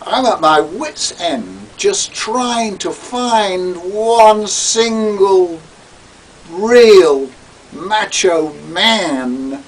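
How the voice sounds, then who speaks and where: A man voicing a shrill, exasperated camp man who complains with drawn-out stresses. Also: big pitch swings, recorded from a distance, slight background noise, poor audio.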